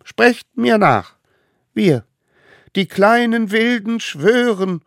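A man voicing small excitable stone-age kids who babble excuses in high, shouting voices. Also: unhurried, vocal fry, dry recording, clean studio sound, exaggerated voice. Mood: solemn.

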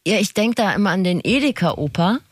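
A woman co-hosts a chat podcast with witty, easygoing remarks. Her voice sits at a medium pitch. The recording is dry and close. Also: expressive, brisk, breathy edge, no echo. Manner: witty, conversational. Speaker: podcast co-host.

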